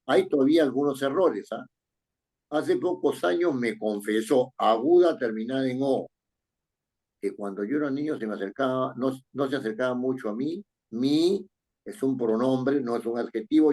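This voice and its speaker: A man with a husky, slightly breathy voice at a middle pitch leads a writing lesson. He reads sentences aloud slowly, then explains the fixes, often ending on a questioning "right?". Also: dark tone. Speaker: writing teacher. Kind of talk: correcting a student's paragraph in class.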